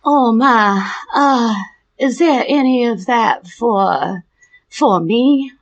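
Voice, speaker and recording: Weak, pleading stranger for a tabletop game, Southern accent, slightly raised pitch, hesitant delivery, acted by a woman. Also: drawn-out words, lo-fi sound. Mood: weak, hopeful. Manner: hesitant, feeble.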